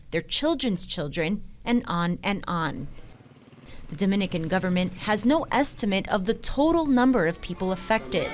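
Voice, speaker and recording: Woman, news reporter, scripted documentary narration, mid pitch, noisy ambience behind.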